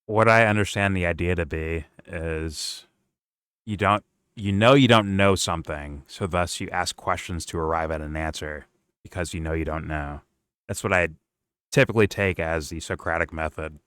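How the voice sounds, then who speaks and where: A man's voice: low voice, a bit bright, smooth, no room sound, studio quality. A man hosting a casual reaction chat, thinking aloud and checking in with "right?". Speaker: host.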